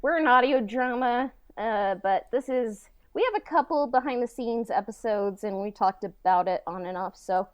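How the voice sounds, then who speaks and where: A woman co-hosting a podcast in casual, halting chat peppered with filler words like "like" and "kinda". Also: fairly high pitch, no room sound. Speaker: podcast co-host and audio drama writer.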